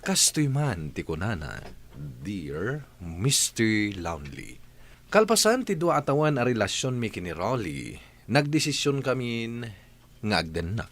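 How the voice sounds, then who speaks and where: A man with a Filipino accent hosting and narrating a radio drama, reading a letter in a measured, theatrical way with drawn-out phrases. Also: fairly low pitch, big pitch swings, a bit bright.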